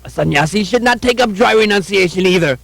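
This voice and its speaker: A man's voice: sits high, animated, fast talker, vocal fry, a bit bright, no echo, heavily acted. A man voicing a preachy, critical monk in a puppet show, scolding with emphatic delivery. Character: fault-finding male Indian monk, preachy and critical.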